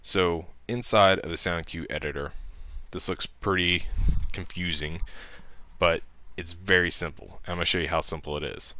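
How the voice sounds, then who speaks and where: A man narrating a software tutorial casually, thinking aloud informally as he works. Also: low-pitched, no echo.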